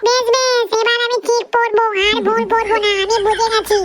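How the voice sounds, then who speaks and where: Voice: very high voice, flat delivery, fairly quick, fairly bright tone. A performer voicing a cheeky, stubborn little boy who whines and argues back in a Bengali comedy cartoon. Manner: childish, pleading, argues back.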